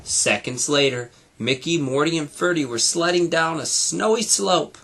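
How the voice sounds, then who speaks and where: A man reading a children's storybook aloud in an animated way, like a teacher to young students. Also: a little high, measured pace, creaky, breathy edge.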